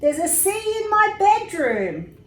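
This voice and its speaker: A woman voicing an excitable, imaginative little boy in a storybook, exclaiming with childlike enthusiasm. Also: very high-pitched, slow pace, distant mic, pitched above the performer's own voice, heavily acted. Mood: excited shouting. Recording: roomy echo with faint background noise.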